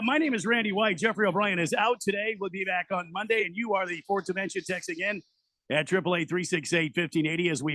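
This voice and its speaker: A man's voice: sits high, a bit bright, no room sound. A man hosting a live sports talk radio show, chatty and full of hesitant fillers and restarts.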